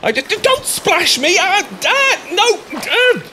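A man's voice: very high voice, big pitch swings, takes it slowly, background noise, full character voice. A man with a British accent acting an awkward, easily flustered, bashful friend in a romantic ASMR audio roleplay, stammering and rambling as he goes. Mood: panicky, protesting.

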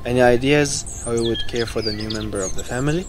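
A man playing a calm, gently wise father in a children's audio story, measured and reassuring. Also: medium pitch, close to an everyday voice. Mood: earnest, wise. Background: music and birdsong.

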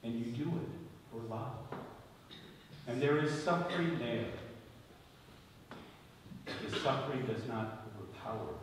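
A man preaching a homily as a bishop, solemn and deliberate, speaking phrase by phrase with emphatic stresses in a large church. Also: medium pitch. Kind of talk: sermon. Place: large stone-walled church, at the ambo before a congregation. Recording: very echoey church, distant mic, faint noise.

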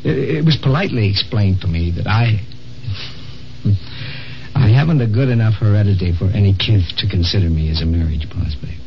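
An actor playing a lonely, embittered man lost in the future, halting and theatrical, in 1950s radio drama style. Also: on the low side, expressive, slight background noise. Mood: wounded, complaining. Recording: dull old radio recording with music behind.

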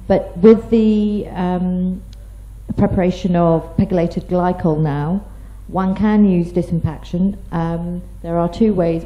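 A woman explaining treatment as a doctor on a medical panel, in a measured way. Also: fairly low pitch. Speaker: paediatrician panelist. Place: conference hall, seated at panel table. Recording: dull conference microphone recording.

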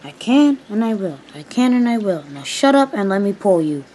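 A woman voicing an eager, determined male tank engine in a children's story, loud, emphatic and easily panicked. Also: medium pitch, very animated, moderate pace. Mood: defiant.

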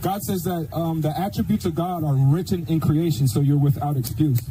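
A man's voice: a little high, steady tone, moderate pace, mellow, slight background noise, low-quality recording. A man preaching on the street, insistent and pressing, often stammering and repeating his words. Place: outdoors on a street. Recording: clip with music playing over it.

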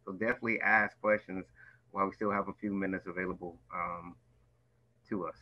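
A man's voice: deep voice, fairly even intonation, brisk. A man moderating an online event, informally relaying questions from the audience over a video call. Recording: dull, muffled video call audio.

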